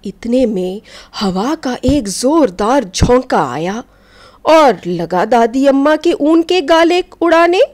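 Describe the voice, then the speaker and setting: Female storyteller for children's folk tales: high voice, very lively intonation, gently instructive. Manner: expressive, didactic, addressing children. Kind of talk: scripted narration of children's folk tale.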